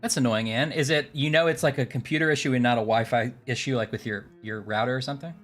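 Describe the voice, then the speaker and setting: A woman's voice: extremely low pitch, quick pace, soft background music. A woman chatting casually on a game livestream, musing and thinking aloud. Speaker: game streamer.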